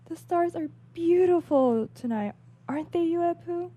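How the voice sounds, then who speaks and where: A woman's voice: very high voice, measured pace, mellow, pitched above the performer's own voice. A woman voicing a dreamy, playful young noblewoman archer in a storybook reading.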